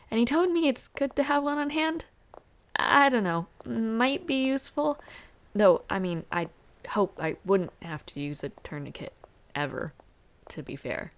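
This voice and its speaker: A chatty young female university student played by a woman in an audio roleplay. Her medium-pitched voice often drops into a creaky fry. She talks in a casual, slightly hesitant way, like a friendly, over-prepared dormmate. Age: young adult. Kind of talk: roleplay audio drama, casual one-sided conversation.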